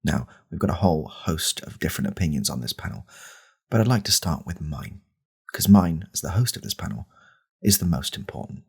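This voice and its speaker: A man playing an angry, pompous right-wing podcast host in a deadpan parody monologue, with a deliberate, conspiratorial manner. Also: heavily acted. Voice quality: very deep, gravelly, husky.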